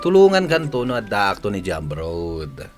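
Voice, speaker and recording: Deep, animated Ilocano family man. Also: moderate pace, soft background music. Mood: confident, reassuring. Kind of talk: Ilocano radio drama acting.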